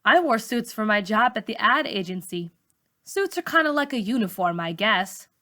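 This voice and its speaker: A woman voicing a composed, businesslike female teacher of forty from a storybook, measured and matter-of-fact. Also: medium pitch, expressive, sharp tone. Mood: cool.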